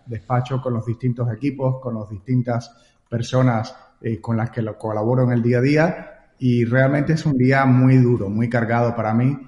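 A man from Cuba with a Cuban accent, answering interview questions in a relaxed way, often repeating words and pausing with "eh" while he thinks. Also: mid-range voice. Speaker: guest. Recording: roomy echo, long reverb, dull lo-fi sound.

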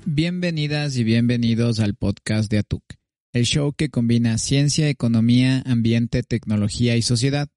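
A man, a podcast host reading a scripted intro and headlines in an even delivery. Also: medium pitch, moderate pace, no echo, faint music behind. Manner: even, read-aloud delivery.

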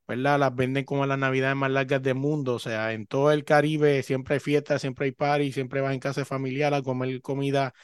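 Chatty Puerto Rican-born podcast host. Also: male voice, medium pitch, level tone, a little fast, few pauses, clear, resonant tone, no echo, clean studio sound. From Puerto Rico. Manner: chatty, loaded with filler "o sea". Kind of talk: conversational interview. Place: Virginia.

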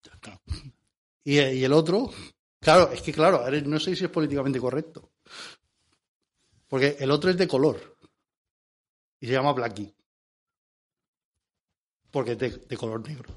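A man's voice: medium pitch, normal speed, pauses often. A man from Spain with a Spanish accent doing joking radio chat, theatrical and repeating himself for emphasis. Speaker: comic regular of a radio show segment.

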